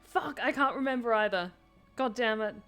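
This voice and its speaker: A woman's voice: a little high, normal speed, a bit bright, clear, resonant tone, faint music behind. A woman streaming a game, chatting casually with her viewers, self-deprecating and talkative. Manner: chatty, self-deprecating, reads chat aloud.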